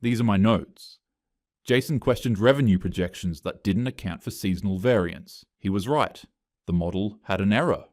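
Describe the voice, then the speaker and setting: Low-voiced man narrating a story, dry. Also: normal speed, a little mellow, dry recording. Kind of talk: scripted first-person narration.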